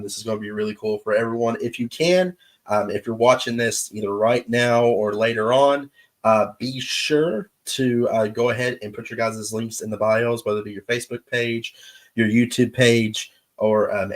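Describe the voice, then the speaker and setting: A man hosting his show's live stream, chatting casually with viewers in an easygoing, halting way, his voice stuffed up from allergies. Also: on the low side. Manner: easygoing, halting with many pauses. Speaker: show host. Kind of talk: casual livestream chat with viewers.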